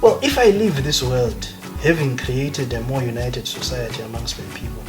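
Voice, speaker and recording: Zimbabwean man, emphatic guest speaker, mid pitch, background music. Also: normal speed. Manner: emphatic, passionate, mixes in Ndebele phrases. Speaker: guest, academic economist. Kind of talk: conversational interview.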